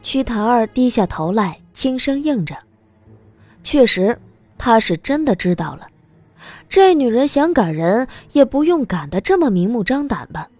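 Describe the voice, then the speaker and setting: A woman giving expressive scripted narration as an audiobook narrator. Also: a little high, unhurried, no room sound, soft background music.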